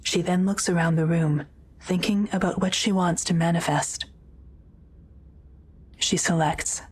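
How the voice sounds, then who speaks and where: A woman's voice: lowish voice, steady tone, a little slow, slightly rough. A woman reading scripted, present-tense narration for an audio drama.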